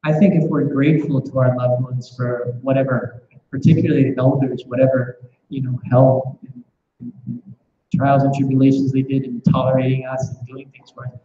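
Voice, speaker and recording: A man's voice: medium pitch, little pitch movement, normal speed, hardly any pauses, warm tone, slight background noise. A man leading a scripture class with questions, speaking reflectively and often restarting with repeated words. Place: temple class room. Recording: echoey muffled room recording.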